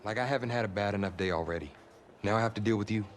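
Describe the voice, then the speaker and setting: A man's voice: fairly low pitch, racing, a little hiss or hum, lightly acted. A man playing a proud, defensive young college quarterback in a naturalistic video game cutscene. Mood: sullen. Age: young adult. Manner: defensive, argumentative.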